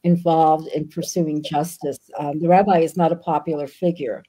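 Older woman author, yiddish first language, low-ish mellow voice, video call, lots of ums. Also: fairly even intonation, normal speed, poor audio. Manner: thoughtful, frequent ums. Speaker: guest author. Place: home room with bookshelves.

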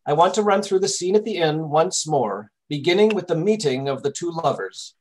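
A man playing a brisk, impatient gentleman detective giving orders in old-time radio drama style. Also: fairly high pitch, animated, a little hiss or hum, close to an everyday voice, low-quality recording. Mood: brisk, commanding. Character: brisk, commanding, impatient English gentleman detective directing rehearsal. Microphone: video call.

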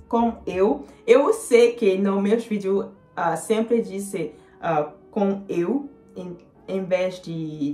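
A young woman in her twenties, a native French speaker with a French accent, chatting to camera with many fillers and pauses as she answers viewer comments. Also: mid-range voice, dark tone, echoey room. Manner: hesitant, many fillers, switches into English. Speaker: vlogger. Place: small indoor room.

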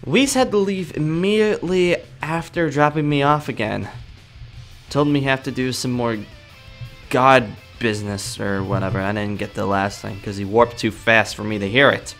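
A man acts out a cocky young anime hero in a game roleplay. His voice is bright and forceful at a middle pitch, grumbling to himself in casual slang. Light music plays behind him. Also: normal speed, close to an everyday voice. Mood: grumbling, resigned. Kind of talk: improvised video game roleplay.

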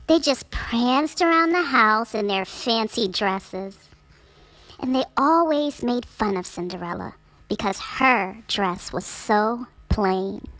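Woman narrator, high pitch, slow pace, careful phrasing, children's read-aloud. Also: animated, fairly bright tone, rough recording. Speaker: storybook reader.

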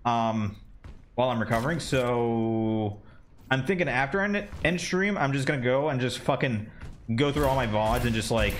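A man's voice: mid-range voice, lively intonation, moderate pace. A man in his mid-twenties talks casually at his desk while gaming, rambling through thoughts with plenty of "like" and "um". He swears freely and sounds offhand. A faint hum sits behind his voice. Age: 20s. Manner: rambling, profane, many fillers. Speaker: gaming streamer. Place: home desk room.

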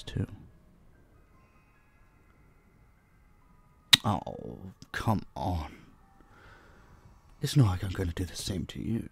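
Guy doing a yandere male vampire for roleplay audio, gravelly, really slow, possessive and sweetly threatening, kinda whispery. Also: on the low side, heavily acted. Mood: smug menace. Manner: slow, hushed, teasing, dominant, dropping into whispers. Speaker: voice actor. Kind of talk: intimate roleplay audio drama, addressing the listener.

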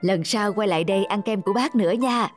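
A woman's voice: mid-range voice, fast talker, lightly acted. Woman voicing a patient ice cream man. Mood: welcoming. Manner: patient, explanatory. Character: kindly middle-aged male ice cream seller, patient, respectful. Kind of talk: cartoon dubbing. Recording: music behind the voice.

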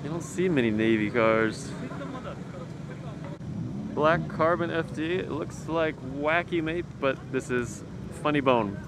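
A man's voice: fairly high pitch, slow pace. A man giving casual, slangy and enthusiastic walk-around commentary as a car enthusiast, speaking outdoors. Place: outdoors in a circuit paddock. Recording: noisy outdoor car paddock ambience.

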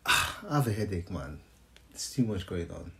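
A man's voice: low-pitched, sing-song, a little slow, vocal fry, very noisy. A man, giving casual, exclamatory reaction commentary with slang. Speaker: reaction video host. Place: small living room indoors, on a couch.

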